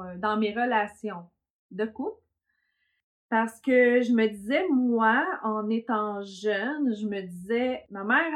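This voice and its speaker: A middle-aged woman with a Québécois French accent, telling her personal story as host in a hesitant way full of fillers. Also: medium pitch. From Quebec, south shore of Montreal. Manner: hesitant, many fillers, laughs often. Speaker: podcast host, therapist.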